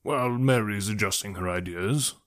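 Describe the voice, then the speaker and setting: A man voicing a calmly ironic middle-aged gentleman father in an audiobook, measured, formal and deadpan. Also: on the low side, lightly acted. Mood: mock serious. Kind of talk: audiobook character voice.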